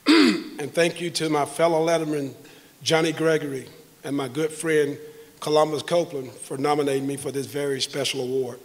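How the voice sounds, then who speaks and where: Hoarse man clearing his throat at a podium. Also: a little high. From South Carolina. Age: 50s. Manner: keeps clearing his throat, formal and grateful. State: hoarse, has lost his voice. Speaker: hall of fame inductee. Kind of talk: prepared acceptance speech. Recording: long reverb of a large hall.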